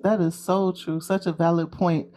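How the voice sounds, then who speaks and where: A woman's voice: on the low side, level tone, moderate pace, dark tone. A woman hosting a podcast, friendly and affirming, laughing often as she talks with her guest. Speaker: podcast host. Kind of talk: podcast interview.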